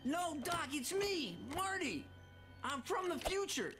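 A man playing an eager, easily startled teenage boy in an animated video game, youthful and exclamatory. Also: very high-pitched, slow pace, full character voice. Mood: urgent. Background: loud music.

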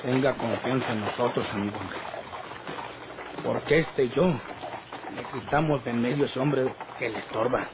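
A man's voice: medium pitch, moderate pace, long pauses, lightly acted. An actor playing a rustic Mexican hired gunman, telling things emphatically in an old radio drama. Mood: assuring. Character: rustic hired gunman recounting his wounds. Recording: noisy, tinny old radio recording.

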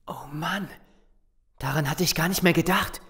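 An actor playing a sarcastic, confrontational, distrustful survivor in a German zombie audio drama. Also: female voice, low-pitched, normal speed, a bit bright. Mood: grim. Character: Simon, distrustful hot-headed survivor of a zombie apocalypse.

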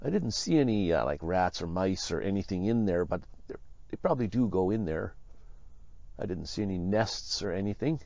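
A man casually narrating his walk through abandoned houses, easygoing and halting, with frequent chuckles. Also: deep voice, lively intonation, vocal fry, darkish tone, dry recording, muffled recording. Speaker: vlogger. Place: outdoors in a rural ghost town and inside abandoned houses.

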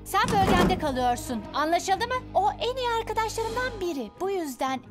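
Bossy, high-voiced teen werewolf girl. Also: animated, normal speed. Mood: stern. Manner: commanding, confrontational. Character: bossy, protective teenage werewolf sister. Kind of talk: dubbed cartoon acting. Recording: music behind the voice.

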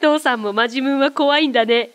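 A woman's voice: sits high, quick pace, close to an everyday voice. A woman voicing a cheerful, curious young boy with an eager, childlike manner in a radio drama. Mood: playful teasing.

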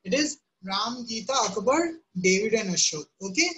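A woman's voice: a little high, expressive, normal speed, fairly bright tone, a little hiss or hum. Woman teaching math online. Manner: checks in often with students, repeating "right?" and "okay?". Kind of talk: live online class lecture. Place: home room.

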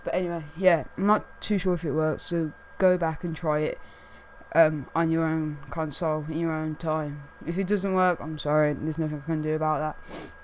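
A young man with a croaky, hoarse voice, chatting casually to camera as a gaming video host and rambling with lots of fillers. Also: a little high, flat delivery, moderate pace, slight background noise, poor audio. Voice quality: croaky, losing his voice. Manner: rambling, many fillers like "what's it called". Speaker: gaming YouTuber.

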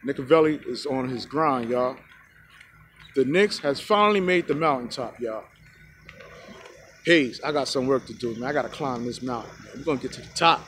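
A man in his forties vlogging outdoors into a phone held at arm's length, giving excited, preachy sports opinions and calling out "y'all" to his viewers. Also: mid-range voice, normal speed, slight background noise. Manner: hyped, preachy, keeps saying "y'all". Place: outdoors by a rocky hill in a park. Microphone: phone selfie camera at arm's length.

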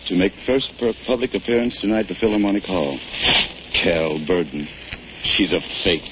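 Actor doing scornful low guy in old radio drama, really noisy recording. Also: brisk, phone-quality sound, natural voice. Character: man reading a headline aloud, suspicious and scornful. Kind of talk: 1940s radio acting.

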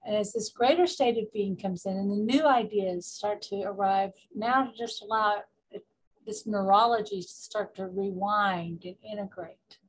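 A woman with a mid-pitched voice leads a guided meditation like a spiritual coach. She gives instructions deliberately, in short repeated phrases, leaving space between them as she moves through affirmations. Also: poor audio.

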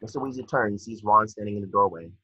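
Low, raspy man narrating a screenplay. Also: fast talker, bright, forceful tone, no echo. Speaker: stage directions reader. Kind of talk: scripted narration of screenplay directions. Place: indoor room at home, on a video call. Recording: dull, dry video-call audio.

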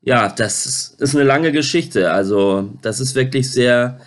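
A man in his thirties rambling through an informal phone interview, halting and repeating his words, recorded indoors at home. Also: mid-range voice. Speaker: YouTuber making a phone call on camera.

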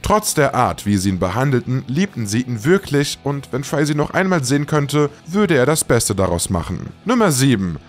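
A man's voice: medium pitch, sing-song, moderate pace, hardly any pauses, soft background music. A man reading a scripted countdown narration as the presenter of a video.

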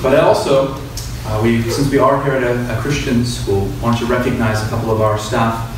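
Male priest, measured and courteous announcer, medium pitch, distant noisy church recording. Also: little pitch movement, heavy reverb. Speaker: officiating priest. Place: church interior, at a lectern. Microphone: distant camera in the pews.

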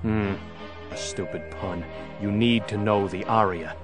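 A man's voice: on the low side, a little slow, natural voice. An actor playing a stern, determined male crime-fighting hero in an audio drama, terse and brooding. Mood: pondering. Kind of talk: full-cast audio drama acting. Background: dramatic music.

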